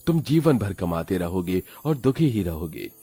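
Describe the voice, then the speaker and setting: A man's voice: low voice, sing-song, moderate pace, mellow, slightly rough, dry recording, faint music behind, heavily acted. An actor voicing a kind, solemn magical two-headed male goat in a cartoon story. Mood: gentle solemn.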